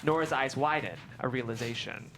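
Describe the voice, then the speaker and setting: A woman's voice: very deep voice, fairly quick, noisy background. A woman reading screenplay stage directions aloud as narrator at a table read.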